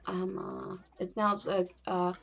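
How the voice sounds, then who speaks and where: A woman with a husky, gravelly voice chatting casually on a livestream, rambling and reading out messages. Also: mid-range voice, little pitch movement, a little slow, a bit bright. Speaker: co-host of a morning livestream.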